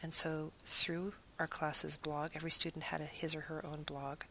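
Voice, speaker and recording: A woman's voice: low voice, flat delivery, normal speed, a bit bright, slight background noise, low-quality recording. A woman who teaches Spanish, giving an earnest, reflective talk about her teaching and piling up long lists of phrases.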